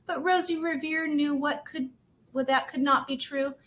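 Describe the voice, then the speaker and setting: A woman teacher reading a children's storybook aloud expressively, in measured, sing-song rhyme. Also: high-pitched, steady tone, a bit bright, a little hiss or hum.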